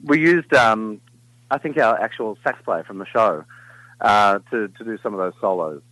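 A middle-aged man with a deep baritone talks in an easygoing, meandering way, often restarting his sentences. His voice is fairly low and comes across close and dry, with no room sound. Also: moderate pace, dry recording, low-quality recording. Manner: relaxed, rambling with many fillers and restarts. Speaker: singer in a vocal group, guest. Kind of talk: casual interview chat.

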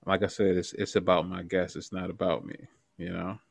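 A man's voice: sits low, level tone, fairly quick, vocal fry, no room sound. A man hosting an interview podcast in a casual, informal way, with plenty of conversational asides. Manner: informal, frequent "you know" asides. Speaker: podcast host.

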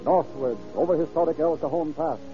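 American man, 1930s radio announcer, formal, declamatory, somewhat high pitch, muffled old broadcast, music behind. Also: fairly quick. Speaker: announcer and narrator. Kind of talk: 1930s radio announcing, scripted narration and ad reading.

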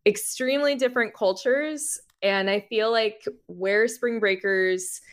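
A young American woman chatting as a guest on a podcast. She takes her time, speaking slowly at a medium pitch. Her delivery is informal and meandering, full of conversational detours. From United States (Florida). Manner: rambling, informal, frequent "like". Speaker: podcast guest, music journalist. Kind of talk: casual conversational interview.

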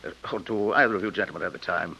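Low, suave, wealthy gentleman villain. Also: rapid-fire, creaky, slightly rough, exaggerated voice. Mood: smoothly aloof. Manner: polished, formal, pompous. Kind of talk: old radio western acting. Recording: dull, noisy old radio recording.

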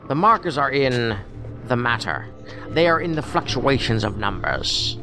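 An actor playing a calm, cryptic male mystic leader who speaks in a measured way. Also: mid-range voice. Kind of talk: audio drama acting. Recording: music underneath.

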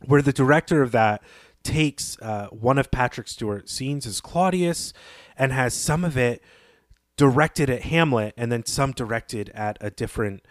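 A man's voice: mid-range voice, unhurried, no room sound. Analytical male podcast co-host, very dry sound. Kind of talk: conversational literary analysis.

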